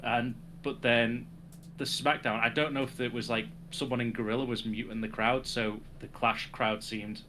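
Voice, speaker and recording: A young man from the UK chats casually as a podcast co-host, laughing often. His voice is bright and forward. A faint hiss sits under the recording. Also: mid-range voice, little pitch movement, normal speed, rough recording. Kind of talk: casual wrestling chat.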